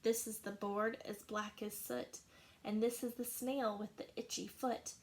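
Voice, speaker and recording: A woman teacher reads a rhyming picture book aloud to children. Her voice is on the higher side, and she takes the verses slowly and expressively, lingering on each line. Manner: slow, expressive rhyming narration. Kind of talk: storybook read-aloud.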